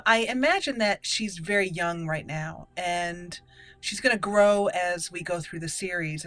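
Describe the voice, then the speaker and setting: A woman's voice: fairly low pitch, fairly bright tone. A woman giving casual game commentary in a chatty, explanatory manner. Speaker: gaming video host.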